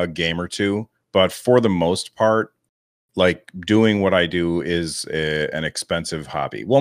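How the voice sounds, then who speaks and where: Guy with a really deep radio voice, rambly and joking about himself, dry sound. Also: dry recording. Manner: rambling, self-deprecating, laughs often. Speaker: guest podcaster. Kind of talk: relaxed interview talk.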